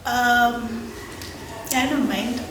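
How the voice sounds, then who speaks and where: A woman of twenty-one giving candid interview answers as a student, hesitant and halting. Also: on the high side, fairly even intonation. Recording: roomy, distant mic, clear background noise.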